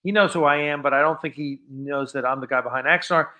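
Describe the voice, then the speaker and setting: A man's voice: on the high side, fast talker, muffled recording. A man hosting a fan livestream, chatting casually and answering viewer questions in an informal, rambling way. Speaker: host of a fan film livestream.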